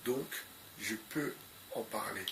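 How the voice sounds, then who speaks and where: A man with a cold talks slowly and haltingly in a gravelly voice, leaning on fillers and looping back on his own phrases. His commentary is unscripted. Clear background noise runs under the recording. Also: fairly low pitch. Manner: hesitant, repeats phrases, many fillers. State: has a cold. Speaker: video commentator.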